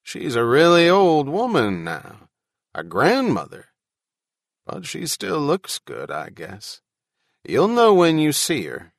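A man's voice: on the high side, wildly swooping pitch, takes it slowly, creaky, no room sound, studio quality, voice pushed up, heavily acted. A man voicing a self-assured male huckster in an audiobook, offhand and needling. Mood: smug, offhand.

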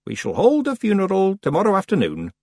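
A man voicing an imperious, calm witch over a hundred years old in an audiobook, speaking with commanding precision. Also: high voice, fairly quick, no room sound, clean studio sound, voice pushed up, exaggerated voice. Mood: brisk. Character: imperious 113-year-old witch, stern, calm, matter-of-fact. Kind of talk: audiobook character voice.

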